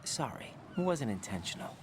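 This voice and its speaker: A man's voice: mid-range voice, very animated, moderate pace, slight background noise, close to an everyday voice. An actor playing a young, earnest American rookie operative in a video game, doubting and questioning as he speaks. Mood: subdued. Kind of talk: video game cutscene acting.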